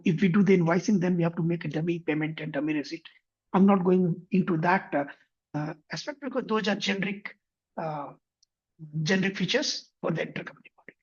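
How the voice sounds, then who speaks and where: A man teaching a software tutorial, walking through an example methodically and often correcting himself mid-sentence. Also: sits high, breathy edge. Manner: methodical, step-by-step, frequent self-corrections. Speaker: software instructor. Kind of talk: screen-share tutorial explanation. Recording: dull lo-fi recording.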